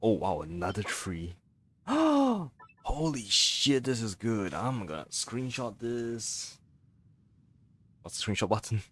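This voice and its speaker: A man's voice: fairly low pitch, sing-song, slow. A man giving casual let's-play commentary on a live stream, muttering to himself as he plays. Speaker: live-streaming gamer, host.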